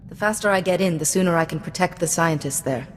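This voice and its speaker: An actress playing a calm, polite, composed female warrior-diplomat in a video game. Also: fairly low pitch, fast, slight background noise, close to an everyday voice.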